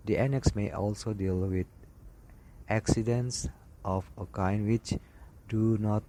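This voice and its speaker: A man reading out a scripted lesson as an instructor, in broken phrases with stumbles over words. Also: on the low side. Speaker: instructor reading aviation regulations. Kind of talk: read-aloud scripted lesson narration. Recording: loud background noise with clicks.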